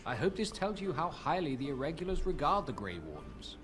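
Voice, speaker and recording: An actor playing an earnest, courteous mercenary representative in a fantasy video game, speaking formally and with measured phrasing. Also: male voice, on the high side, big pitch swings, fairly bright tone. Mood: earnest, respectful. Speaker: non-player quest-giver in a fantasy video game. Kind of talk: video game dialogue acting. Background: game music.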